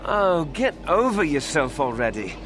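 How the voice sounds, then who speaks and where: A man's voice: a little high, big pitch swings, unhurried, music in the background. A man playing a resentful, impatient Sith thug in a video game, aggressive in manner.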